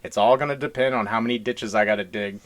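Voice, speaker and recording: A man's voice: lowish voice, fast, fairly bright tone. A man co-hosting a podcast in casual, joking chat, rambling along in a folksy way. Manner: rambling, folksy y'all talk. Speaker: podcast co-host.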